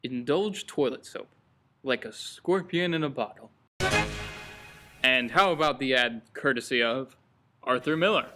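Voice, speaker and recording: A man's voice: mid-range voice, lively intonation, unhurried, pauses often. A man narrating a scripted radio programme as its announcer. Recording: music behind the voice. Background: music.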